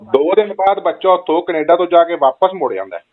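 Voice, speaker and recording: A man's voice: fairly high pitch, lively intonation, fast talker. A man with a Punjabi accent on a phone call, deferential and insistently pleading as he argues his side. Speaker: travel agent. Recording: muffled recorded phone call.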